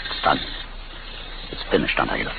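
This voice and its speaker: An actor playing a driven, grim older inventor with a gravelly voice in a 1950s radio drama. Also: male voice, normal speed, lightly acted. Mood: intent, determined. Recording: noisy, narrow-band 1950s radio recording.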